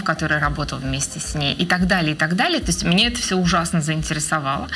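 A woman being interviewed as a researcher of children's radio, speaking in a measured, thoughtful way with frequent hesitation fillers. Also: on the low side, a bit bright. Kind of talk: interview answers.

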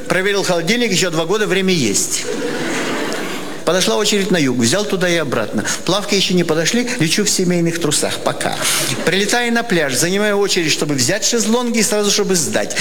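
Older male monologist, wry and weary, high-pitched, faint noise behind. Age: 60s. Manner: wry deadpan delivery with weary pauses. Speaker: comic monologist. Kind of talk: satirical stage monologue. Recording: hall reverb, faint background noise.

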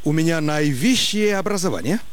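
A man plays a cheerful Nigerian job seeker with a thick put-on accent and broken Russian. He stammers and trips over words as he tries to sound confident. His voice sits fairly high for a man. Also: lively intonation, fairly quick, dry recording, lightly acted. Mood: bumbling. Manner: stumbling, mangles words, stammers. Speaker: prank caller.

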